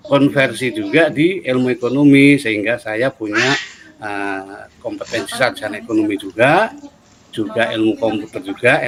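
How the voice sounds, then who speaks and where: Middle-aged guy, central javanese accent, chatting from home on a video call, laid back, lots of little eh pauses. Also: medium pitch. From Solo, Central Java. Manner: relaxed, explanatory, with frequent "eh" pauses. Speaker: head of a university study program, guest. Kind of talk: casual interview over a video call.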